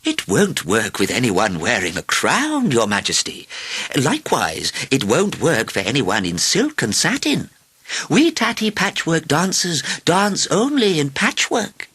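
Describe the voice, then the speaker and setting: A man voicing a stern, spirited old grandmother in a storybook, brisk and blunt. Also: on the high side, huge pitch swings, sharp tone, slightly rough, pitched above the performer's own voice, heavily acted. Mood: scornful, then relenting.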